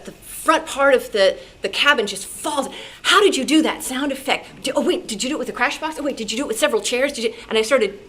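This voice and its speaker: A woman from New York City telling personal anecdotes to a club audience in an animated way, acting out remembered dialogue. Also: fairly high pitch, slightly rough. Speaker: radio drama actress and sound effects performer, guest speaker. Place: meeting room with audience. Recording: noisy room at a club meeting.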